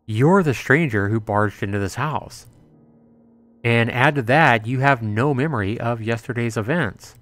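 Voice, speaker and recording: Man reading as blunt, skeptical woman, unhurried. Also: medium pitch, fairly bright tone, no echo, close to an everyday voice. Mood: accusing. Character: skeptical, interrogating young woman demanding proof in mystery.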